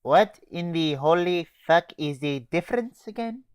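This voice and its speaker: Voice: mid-range voice, lively intonation, unhurried, a little mellow, clear, resonant tone, no room sound, studio quality, natural voice. A performer voicing a brash, foul-mouthed, bloodthirsty military operator from a game in a comedy skit. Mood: puzzled, eager. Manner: blunt, cocky, swearing.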